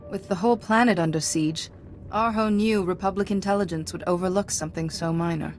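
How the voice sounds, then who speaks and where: A woman playing a calm, confident young Jedi hero in a video game. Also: fairly low pitch, a little fast, slight background noise, close to an everyday voice. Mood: calm, assured.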